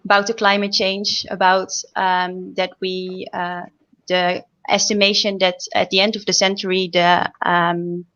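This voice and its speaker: A young woman speaking as a guest researcher on an online panel, explaining informally with a halting delivery. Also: medium pitch, little pitch movement, fairly bright tone. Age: young adult. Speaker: guest, PhD student researcher. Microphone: video call. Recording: dull, lo-fi video call audio.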